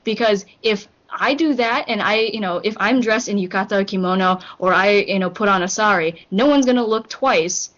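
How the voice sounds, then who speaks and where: A woman's voice: medium pitch, moderate pace, few pauses, a bit bright. A young woman from Hong Kong chatting casually on a podcast, rambling with lots of fillers and restarts. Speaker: podcast co-host. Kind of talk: casual group chat. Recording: muffled lo-fi recording.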